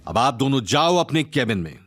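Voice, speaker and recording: A man's voice: medium pitch, sing-song, moderate pace, soft background music. A man voicing a proud, formal train captain in an audio drama, mixing in English phrases. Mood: angry, stern. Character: proud, indignant male train captain, stern but deferential.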